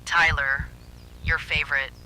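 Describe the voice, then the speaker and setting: A man's voice: on the high side, little pitch movement, unhurried, pushed and bright, slight background noise, rough recording. A man voicing a sinister monster that mimics a young woman, coaxing and eerily hospitable in a horror story. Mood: sinister, inviting.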